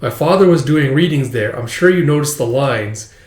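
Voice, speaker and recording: A man's voice: mid-range voice, expressive, normal speed, darkish tone, close to an everyday voice. A man voicing a pompous, grandiose schoolboy know-it-all with a mystical bent, from a comic story. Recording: roomy, echoey sound.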